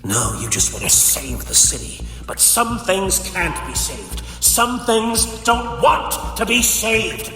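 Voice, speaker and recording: A man's voice: high-pitched, very animated, slow pace, hardly any pauses, bright, forceful tone, full character voice. A man playing a bitter, grief-stricken, vengeful ice supervillain in a comic dub, with theatrical, brooding delivery. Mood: furious, anguished. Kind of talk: dramatic comic dub acting. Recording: echoing reverb, music underneath. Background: music.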